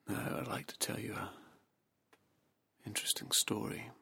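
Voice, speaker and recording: A man guiding a hypnosis session, speaking softly and slowly in a half-whispered, soothing delivery. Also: on the low side, flat delivery, creaky, slightly rough. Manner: soft, slow, half-whispered. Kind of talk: guided hypnosis narration.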